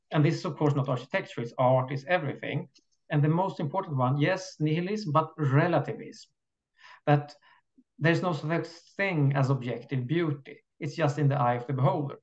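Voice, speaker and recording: A man with a Swedish accent, a guest in an interview, arguing his points firmly and deliberately. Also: on the high side, muffled recording. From Sweden. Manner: firm, argumentative, deliberate. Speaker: guest, architecture activist. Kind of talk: interview over a call.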